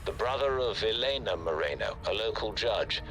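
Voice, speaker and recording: A man's voice: lowish voice, animated, moderate pace, low-quality recording. An actor playing a composed, courteous male remote assistant, formal and informative, in a video game.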